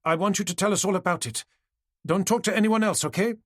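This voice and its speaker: A man voicing a glamorous middle-aged woman who turns hysterical and scornful, raising his voice to breathless, shrill cries. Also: fast talker, a bit bright, no room sound, studio quality. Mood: urgent, excited.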